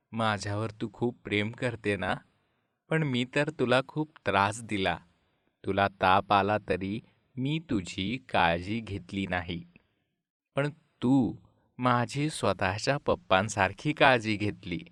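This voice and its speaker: Cartoon father, male actor: short-tempered family man, lowish pitch, dry studio sound. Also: slow, fairly bright tone, no echo. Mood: remorseful, tender. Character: short-tempered father, cold then remorseful. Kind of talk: dubbed animated moral story.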